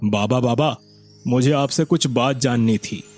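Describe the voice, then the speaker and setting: Male performer as selfish young village man, mid-pitched, brusque, complaining, impatient, moral story dialogue, light music behind. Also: a little slow, a little mellow, close to an everyday voice. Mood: puzzled.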